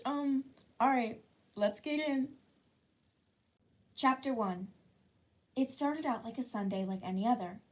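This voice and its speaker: A woman narrating a fan-fiction story in an expressive reading style. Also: fairly high pitch.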